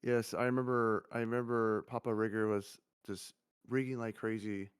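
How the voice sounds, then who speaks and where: A man speaking as a guest in a casual livestream chat, in a monotone voice with a stutter and many restarts. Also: lowish voice, normal speed, smooth. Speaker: agency CEO guest.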